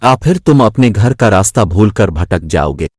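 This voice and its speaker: A man's voice: lowish voice, lively intonation, normal speed, breathy edge, dry recording, clean studio sound. A man voicing an amused older rooster who teases and admonishes in a children's story. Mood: teasing, admonishing. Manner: teasing, admonishing.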